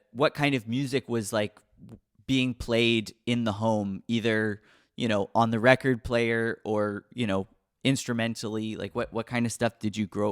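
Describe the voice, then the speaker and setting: A man's voice: on the low side, clear, resonant tone. A man hosting a podcast, asking long, rambling questions full of fillers. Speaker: podcast host. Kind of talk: casual interview.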